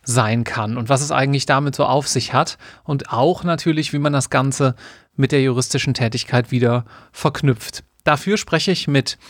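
A man's voice: medium pitch, normal speed, no room sound. Polite host man, dry close sound. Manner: polite, formal questioning. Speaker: podcast host. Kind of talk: podcast interview.

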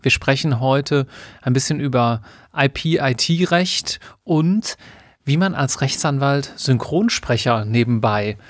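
A man's voice: mid-range voice, animated, slow, dry recording, studio quality. A man hosting a podcast, opening the episode and asking his guest polite, formal questions. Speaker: podcast host. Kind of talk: podcast interview.

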